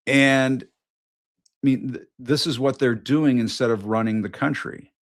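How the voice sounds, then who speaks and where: A man's voice: mid-range voice, steady tone, a little slow. A middle-aged man hosting a political news show, talking casually and mockingly, often restarting and repeating his lines.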